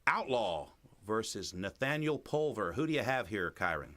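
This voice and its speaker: A man calling a live grappling match as play-by-play commentator, excited and energetic. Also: fairly low pitch, moderate pace.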